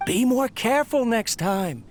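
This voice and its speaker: A lonely young-sounding inventor in a video game, earnest and gentle. His voice is high for a man and wistful, with faint noise behind it. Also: expressive, a little slow. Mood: gentle musing. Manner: musing, wistful. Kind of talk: video game character monologue.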